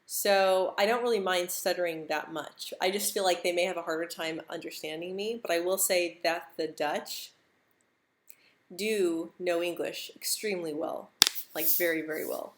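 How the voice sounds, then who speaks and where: A woman's voice: on the low side, steady tone, normal speed, slight background noise. A young American woman who stutters, repeating words and sounds, chatting casually on a live stream and laughing easily. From United States.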